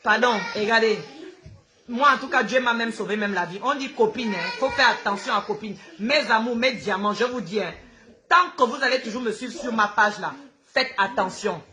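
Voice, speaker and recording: A woman's voice: fairly high pitch, normal speed, crowd noise. A woman with a Gabonese accent, a native Fang speaker, ranting live to her followers in an outspoken, combative, repetitive way. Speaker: social media host.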